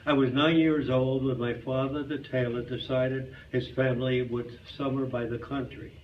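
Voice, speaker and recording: A man's voice: medium pitch, flat delivery, normal speed, lo-fi sound. Man reading, somewhat distant, noisy recording. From Brooklyn, New York. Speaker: reader at a community readers theater. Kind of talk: humorous memoir reading. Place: club hall stage, before an audience. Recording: distant mic, noisy hall sound.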